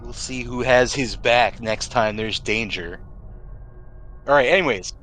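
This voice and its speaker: A man narrating a tabletop roleplaying game as game master, describing scenes conversationally with dramatic pauses. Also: mid-range voice, fairly bright tone.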